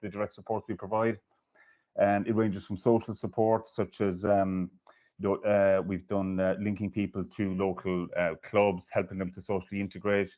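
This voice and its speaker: Community worker, low monotone delivery, dull video call sound. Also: male voice, moderate pace. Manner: matter-of-fact, hesitant with fillers. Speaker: development company worker. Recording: muffled video call audio.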